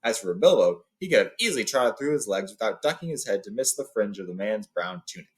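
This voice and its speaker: A man hosting a read-along, telling and reading a story in an informal, expressive way. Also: fairly low pitch, fast. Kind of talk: storybook reading with casual retelling.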